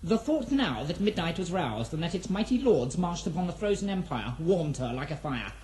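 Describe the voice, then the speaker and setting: Higher-pitched, mellow male fantasy narrator. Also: very animated, moderate pace, slight background noise. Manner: solemn, storytelling, theatrical. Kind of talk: dramatic scripted fantasy narration.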